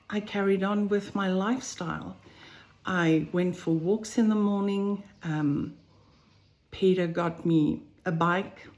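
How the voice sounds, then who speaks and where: A woman with a South African accent, telling her own health story slowly and thoughtfully, with many pauses. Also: on the low side, a little mellow. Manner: slow, thoughtful, pausing between phrases. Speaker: vlogger sharing a personal health story. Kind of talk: personal first-person account to camera. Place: home on a farm, roosters crowing outside.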